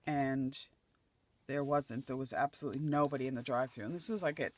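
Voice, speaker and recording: A middle-aged woman from Nova Scotia, chatting casually as she works on a craft project, her voice slightly croaky and dry. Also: rumbling low, brisk. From Nova Scotia, Canada. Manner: chatty, thinking aloud while gluing collage pieces. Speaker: craft channel host.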